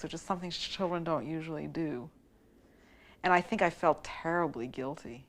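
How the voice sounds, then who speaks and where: A woman in her forties speaks in a slightly low voice, reflecting on her life in an interview. Her delivery is thoughtful and soft, with phrases that trail off into quiet. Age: 40s.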